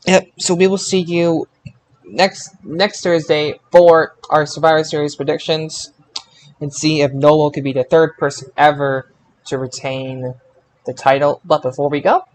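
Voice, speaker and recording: Man hosting a podcast, slightly high voice. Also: flat delivery, measured pace, poor audio. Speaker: podcast host. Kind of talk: casual podcast chat about wrestling.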